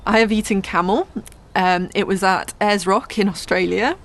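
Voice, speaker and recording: A woman's voice: mid-range voice, steady tone, moderate pace, a bit bright. A woman giving a simple personal account of her experiences as an interviewee.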